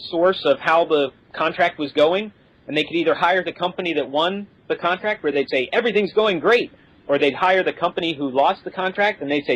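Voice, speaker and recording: A man's voice: a little high, fairly bright tone. A man speaking as a podcast guest, explaining technical things conversationally in a matter-of-fact way. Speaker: aerospace professional, podcast guest. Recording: dull lo-fi podcast audio.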